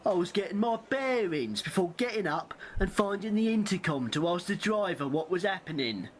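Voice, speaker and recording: A man's voice: high voice, expressive, moderate pace, a little hiss or hum. A man with a British accent playing a defensive, sardonic accused passenger in an amateur radio play. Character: defensive passenger accused of theft, protesting innocence.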